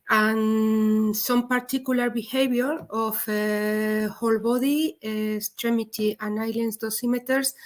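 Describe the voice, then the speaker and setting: A woman with a Spanish accent giving a technical webinar presentation, speaking haltingly with frequent pauses. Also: medium pitch. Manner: hesitant, halting non-native delivery with frequent pauses. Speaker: presenter, head of a dosimetry service.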